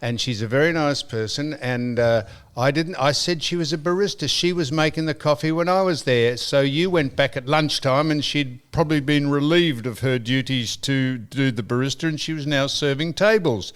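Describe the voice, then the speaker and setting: An older Australian man hosting a podcast, chatting in a rambling, storytelling way and giving listeners shout-outs. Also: on the high side, clear, resonant tone. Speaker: podcast host. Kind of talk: casual chat.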